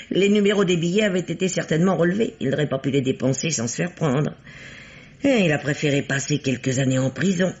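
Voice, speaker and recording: A man's voice: on the high side, fairly quick, lightly acted. An actor playing a shrewd, bossy amateur detective who teases his partner, in theatrical, explanatory 1950s French radio mystery style. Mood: explaining, assured. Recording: dull vintage radio recording.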